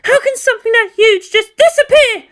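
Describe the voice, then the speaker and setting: A woman voicing a hot-tempered, alarmed female military commander in a dramatic story reading, shouting with indignant emphasis. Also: extremely high pitch, moderate pace, voice pushed up. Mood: panicked, shouting. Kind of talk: dramatic audiobook character acting.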